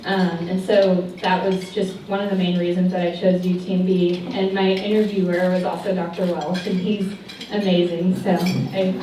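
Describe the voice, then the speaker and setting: A young woman from Texas, a physical therapy student on a luncheon panel, chatty and quick to apologize. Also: on the low side, flat delivery, noisy background. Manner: chatty, apologetic about a cutting mic, laughs often. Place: large banquet hall. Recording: echoey banquet hall, distant mic, dish clatter. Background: cutlery and dishes clinking.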